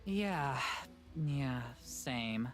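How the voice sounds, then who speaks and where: An actress playing an easygoing, friendly young woman in a visual novel, casual and unhurried. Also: very deep voice, animated, full character voice. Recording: music behind game dialogue.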